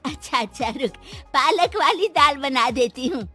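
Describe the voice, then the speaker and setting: एक महिला हिंदी कहानी में बूढ़ी, विधवा, ममता भरी और भावुक माँ का किरदार निभा रही है, रुआँसे और मनुहार भरे लहजे में।. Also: female voice, high-pitched, expressive, normal speed, pushed and bright, soft background music. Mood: gentle, placating. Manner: tearful, pleading, tender. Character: elderly widowed mother, doting, emotional, anxious to please. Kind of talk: Hindi moral story dramatisation.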